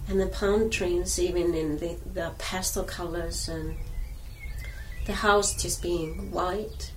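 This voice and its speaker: Woman, mid-pitch, gentle and hesitant, distant mic, faint hiss. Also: fairly even intonation, slow. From Iceland. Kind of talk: casual chat.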